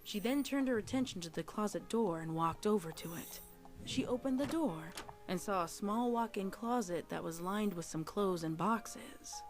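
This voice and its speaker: Casting: female narrator, mid-pitched, dramatic storytelling read with faint music underneath. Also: moderate pace. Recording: music behind the voice.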